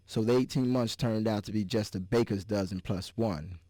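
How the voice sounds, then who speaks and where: A man's voice: fairly low pitch, normal speed, warm tone, no echo, studio quality, close to an everyday voice. A man giving a dramatic reading as a wry, scarred army veteran. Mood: wry, reflective. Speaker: reader.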